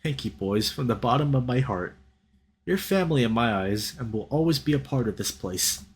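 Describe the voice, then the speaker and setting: A man voicing a big, warm, fatherly bear who runs a restaurant, speaking in a folksy, affectionate way for a visual novel. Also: mid-range voice, lively intonation, moderate pace, a little hiss or hum, lightly acted. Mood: warm, grateful.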